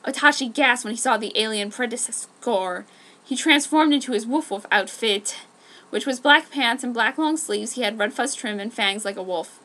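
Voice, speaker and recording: A woman's voice: on the high side, moderate pace, bright, forceful tone. A woman giving a dramatic scripted reading as an amateur story narrator. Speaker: amateur fan fiction narrator.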